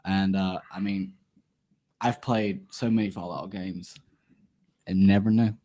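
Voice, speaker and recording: A man co-hosting a podcast, telling animated stories about games and laughing as he goes. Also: low-pitched, a little slow. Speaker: podcast co-host. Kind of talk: casual chat, storytelling.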